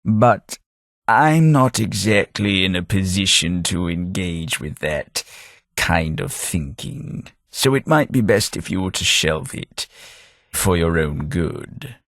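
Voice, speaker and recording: Game companion: a centuries-old British gentleman, voiced by a man, deep and breathy, dry close sound, polite with wry wit. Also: animated, slow pace, dry recording, full character voice. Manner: formal, polite and dryly wry. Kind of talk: video game voice acting.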